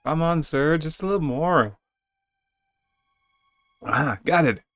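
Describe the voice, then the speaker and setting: A man's voice: on the high side, lively intonation, takes it slowly, a bit bright, no echo, clean studio sound, soft background music. A man voicing a hesitant, good-natured young male adventurer in a game, easily bewildered. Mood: straining.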